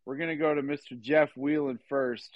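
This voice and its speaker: A man's voice: mid-range voice, steady tone, fairly quick, no echo. A man casually hosting a sports call-in show, chatting with callers in a loose, informal way. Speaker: host of a live call-in sports podcast. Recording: slightly dull, lo-fi audio.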